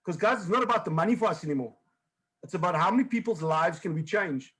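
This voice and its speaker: Man hosting a motivational video call, encouraging, pitched high. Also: expressive, fast, fairly bright tone. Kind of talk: motivational presentation.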